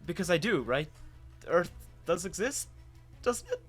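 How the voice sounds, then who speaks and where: A man's voice: sits high, sing-song, measured pace, fairly bright tone, breathy edge, faint music behind, heavily acted. A man playing a bewildered human with lost memory, narrating his own story in a dramatic, hesitant, wondering way. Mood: confused, hesitant.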